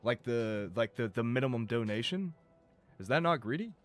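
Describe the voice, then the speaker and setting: A man streaming a game live, talking casually and rambling, his voice going a bit rough as he loses it. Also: medium pitch, expressive, moderate pace, soft background music. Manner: rambling, chatty, swears and laughs. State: losing his voice.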